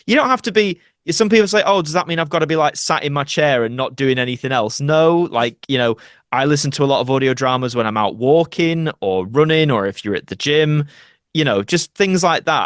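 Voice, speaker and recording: A British man speaks as a podcast guest in a casual, explanatory style. His mid-pitched voice is recorded dry, with very little room sound, and his delivery is earnest and conversational. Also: fairly bright tone, no room sound. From United Kingdom.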